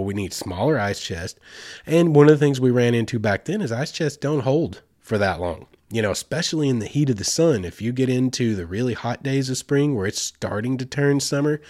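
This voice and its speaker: A man's voice: mid-range voice, darkish tone, dry recording, studio quality. A man congested with a head cold gives casual, rambling instructional talk as a host, in a folksy, informal way with slang. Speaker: host giving outdoor trip advice.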